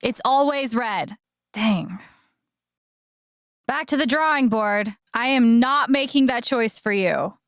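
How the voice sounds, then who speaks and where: A woman's voice: fairly high pitch, takes it slowly, pauses often, no echo, clean studio sound. A woman voice acting an earnest, caring young heroine in a video game, with casual, hesitant, naturalistic delivery. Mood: playful. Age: young adult. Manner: casual, hesitant, conversational phrasing.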